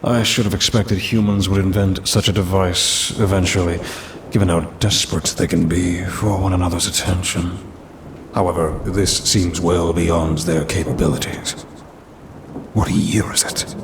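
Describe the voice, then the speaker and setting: A man playing a smug, ancient demon trapped in a cursed necklace in an ASMR roleplay, with haughty, teasing, old-fashioned speech. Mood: puzzled, indignant. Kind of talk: ASMR audio roleplay acting. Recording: rumbling rain and thunder noise.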